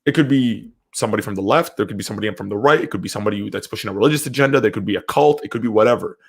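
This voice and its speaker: A man's voice: on the low side, very fast, gravelly, slightly rough. A man from Syria talking casually and emphatically as an opinionated podcast host, repeating phrases to drive points home.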